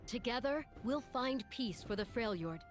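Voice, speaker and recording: A woman's voice: fairly high pitch, expressive, normal speed, soft background music, close to an everyday voice. An actress playing a noble young warrior queen, resolute and compassionate, in dramatic fantasy game lines.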